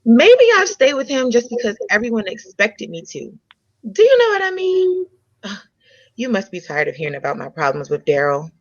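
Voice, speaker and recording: Woman acting a frank, animated young woman. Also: fairly high pitch, moderate pace, dry recording, heavily acted. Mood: frustrated, confiding. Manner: candid, conversational. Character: frank, independent young American woman, restaurant owner's daughter. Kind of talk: comedy script table read. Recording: dull lo-fi microphone sound.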